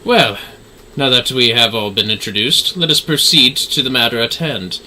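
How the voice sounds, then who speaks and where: A man's voice: medium pitch, moderate pace, sharp tone, a little hiss or hum, poor audio. A man hosting a gaming livestream, chatting to viewers and reading game dialogue aloud.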